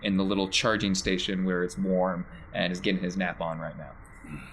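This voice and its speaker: A man's voice: low voice, level tone, normal speed, a bit bright, slight background noise. A man working as game master, narrating improvised scenes in a conversational, descriptive way.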